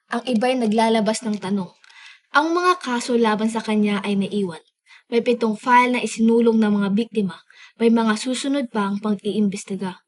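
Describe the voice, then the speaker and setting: A woman's voice: on the high side, lightly acted. A woman acting a sober newscaster delivering a scripted report in a radio drama. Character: sober female newscaster demanding justice.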